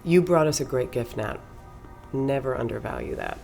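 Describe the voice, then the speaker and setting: An actress playing a charismatic, commanding woman who leads a cultish circle, speaking in a measured, solemn, poetic way in an audio drama. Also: rumbling low, big pitch swings, vocal fry, a little mellow, soft background music, full character voice. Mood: mysterious, assured. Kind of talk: scripted podcast drama acting.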